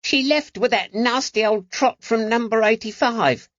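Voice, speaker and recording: A man voicing a cheeky, eager young street urchin with a high-pitched voice and slangy talk, in an audiobook. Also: a little slow, a bit bright, no echo, highs cut off. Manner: colloquial street slang, bargaining.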